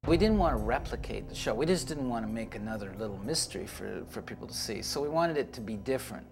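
A man's voice: medium pitch, lively intonation, quick pace, gravelly. A man in his forties talking in an interview about creative work, recorded indoors. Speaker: show creative team member. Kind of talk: interview talk about making a series. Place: indoor office with artwork on walls.

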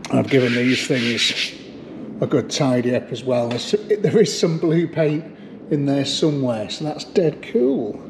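A man's voice: medium pitch, a little slow, echoey room, slight background noise, rough recording. A man, chatting casually to camera and to himself while he works on an old car. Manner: chatty, relaxed, talks to himself while working. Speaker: classic car restoration vlogger. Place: garage or barn workshop.

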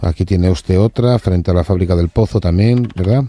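A man's voice: low-pitched, moderate pace, mellow, slight background noise, voice pushed down, lightly acted. A man playing a stern, rambling tax office official from in on an improvised prank call, scolding and officious. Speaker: prank caller.

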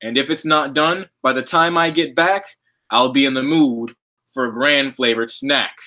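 A man's voice: medium pitch, fairly even intonation, moderate pace, bright, forceful tone, natural voice. A man voicing a wily, boastful old male wolf in a read-aloud children's storybook, sly and pleased with himself. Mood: menacing. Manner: sly, self-satisfied, gleeful scheming.

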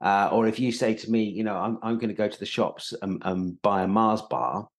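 A middle-aged British man with a slightly low voice. He explains conversationally like a teacher, starting and restarting phrases as he works through his point. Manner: halting, repeats words as he explains. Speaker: acting teacher, podcast host. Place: indoor room on a video call.

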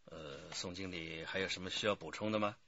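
An actor playing a polite, probing male police detective in a radio drama, with measured, courteous questioning. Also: sits low, bright, forceful tone, dry recording, dull audio, exaggerated voice.

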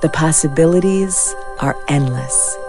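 An actress playing a polished corporate presenter, giving a measured, scripted product keynote in a drama. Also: female voice, sits low. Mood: confident, inspiring. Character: polished female corporate presenter unveiling futuristic gadgets. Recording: music under the voice.

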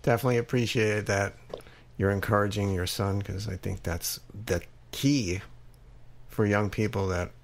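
A man's voice: on the low side, fairly even intonation, moderate pace, creaky. A man speaking casually as the interviewer on a podcast.

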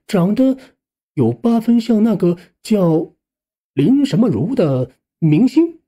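Animated male audiobook narrator, colloquial storyteller. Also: high-pitched, slow. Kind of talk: first-person scripted narration of a suspense novel.

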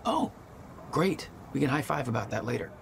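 An actor playing a stubborn, weary action hero in a cinematic video game, terse and dryly defiant. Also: male voice, medium pitch, sing-song, normal speed, creaky, background noise. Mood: wry.

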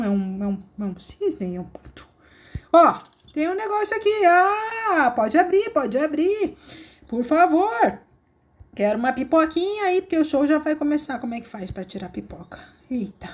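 High-voiced excited woman, a bit hoarse. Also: moderate pace. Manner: excited, chatty, exclamatory. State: somewhat hoarse after a cold. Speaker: host. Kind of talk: playful narrated gameplay.

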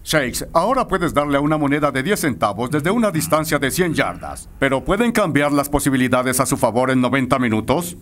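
An actor playing a stern, demanding middle-aged soccer coach who speaks in commanding speeches in a cartoon dub. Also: male voice, mid-range voice, expressive, brisk, hardly any pauses, fairly bright tone, lightly acted. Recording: music behind.

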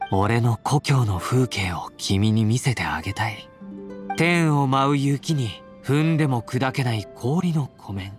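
Breezy, animated young warrior. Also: male voice, a little high. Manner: breezy, teasing, smooth-talking. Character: confident, playful young male warrior, affectionate companion. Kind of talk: Japanese anime-style game voice acting. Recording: game audio with background music.

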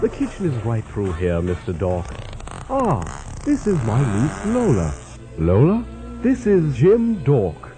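A man's voice: medium pitch, wildly swooping pitch, slow pace, music in the background, heavily acted. A man playing a genial, courteous, slightly dotty mad doctor in a comic horror radio play.

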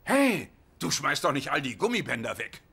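An eccentric old sitcom dad, fussy and grumbling. His fairly high voice moves theatrically up and down. Loud noise runs through the recording. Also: big pitch swings, normal speed, gravelly. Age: older. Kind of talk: dubbed sitcom dialogue. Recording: noisy audio with clicks.

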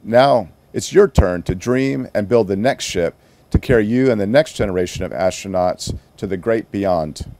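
An American man voicing a proud, kindly retired female space shuttle in a gentle, encouraging storybook manner. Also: lowish voice, moderate pace, natural voice.

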